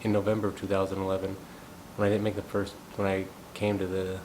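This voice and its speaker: Brief: thirties male, from the US, musing monologue, flat delivery, rumbling noise in the background. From United States. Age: 30s. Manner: thoughtful, unhurried, musing aloud. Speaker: gong practitioner sharing thoughts. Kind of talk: reflective personal monologue.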